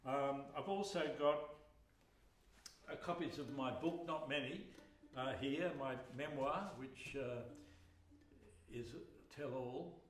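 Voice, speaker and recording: An older Australian man, a folk performer, chatting softly and rambling between songs at a live concert, full of fillers. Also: mid-range voice, slow pace. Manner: soft-spoken, rambling, many fillers. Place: small live music hall with an audience. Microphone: no microphone, recorded from the hall. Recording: echoey hall, voice heard from far off. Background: acoustic instruments playing.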